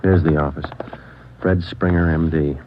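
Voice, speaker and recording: An actor playing a terse, deadpan police sergeant, narrating and questioning in a 1940s radio drama. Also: male voice, extremely low pitch, measured pace. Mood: businesslike. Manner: deadpan, clipped. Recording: dull, noisy 1940s radio recording.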